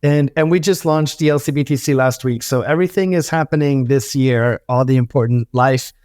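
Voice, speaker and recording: A man's voice: medium pitch, darkish tone, clear, resonant tone, dry recording, clean studio sound. A man in his thirties, born in Hungary and raised in the US, talking as a guest in a casual interview with lots of fillers and restarts. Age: 30s. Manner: quick, rambling, many fillers and restarts. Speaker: startup founder guest.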